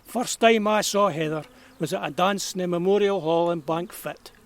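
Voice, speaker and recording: Man acting a high-voiced, kindly old Scotsman. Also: normal speed. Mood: fond, nostalgic. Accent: Scottish, rural Perthshire. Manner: folksy, reminiscing, chuckling. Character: kindly, nostalgic old Scottish man, about eighty. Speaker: actor in an audio drama. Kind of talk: naturalistic audio drama storytelling.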